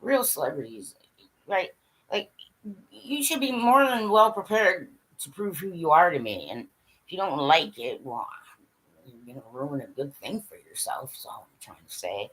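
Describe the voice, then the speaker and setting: A woman's voice: sits low, big pitch swings, moderate pace, fairly bright tone, breathy edge, rough recording. A woman talking straight to her viewers in a scattered, blunt livestream monologue, swearing and laughing as she goes. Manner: addresses the audience directly, asks for agreement. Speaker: livestream host.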